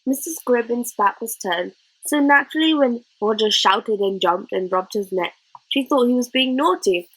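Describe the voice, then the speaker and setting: A woman reading a children's novel aloud as narrator, in an expressive storybook style. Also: a little high, moderate pace, husky, rough recording.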